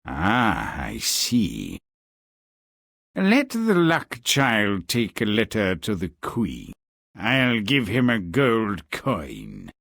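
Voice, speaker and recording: Cruel haughty king, husky, wildly swooping pitch, fairy tale game, pompous and menacing. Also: wildly swooping pitch, fairly bright tone, no echo, clean studio sound, full character voice. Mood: scheming. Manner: pompous, menacing, drawn-out delivery.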